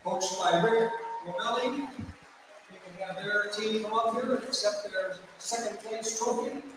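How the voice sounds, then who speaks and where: A man's voice: high voice, measured pace. A man making formal award-ceremony announcements over a public address system in a large gymnasium. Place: large indoor school gymnasium. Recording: echoey gym PA, distant, faint noise.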